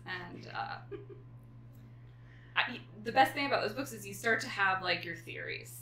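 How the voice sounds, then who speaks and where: A woman's voice: medium pitch, sharp tone, slight background noise, rough recording. A woman hosting a casual chat about books, speaking informally. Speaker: host.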